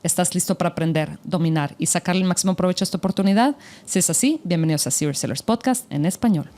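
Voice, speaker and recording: A woman's voice: lowish voice, quick pace, warm tone, slight background noise. Friendly woman hosting a podcast. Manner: friendly, encouraging, frequent backchannel replies. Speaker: podcast host. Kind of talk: podcast interview.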